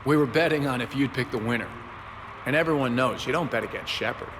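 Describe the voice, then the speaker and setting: A man's voice: medium pitch, fast talker, lightly acted. An actor playing a friendly, teasing crewman and keen sports fan in a space video game, in casual banter. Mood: upbeat. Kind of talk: casual game dialogue acting. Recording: reverberant room, faint ambient noise.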